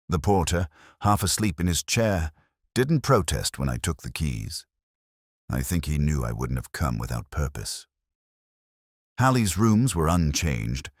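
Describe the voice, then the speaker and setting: Man playing a very deep, brooding detective narrator. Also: dry recording, clean studio sound, full character voice. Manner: measured, solemn, introspective. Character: weary, melancholy, brooding male English police detective. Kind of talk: slow literary first-person detective narration, radio drama.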